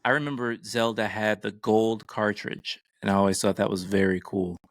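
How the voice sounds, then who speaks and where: A middle-aged man hosting a podcast in a casual, measured way, pausing between phrases. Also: lowish voice, fairly even intonation, no room sound, clean studio sound. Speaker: podcast co-host.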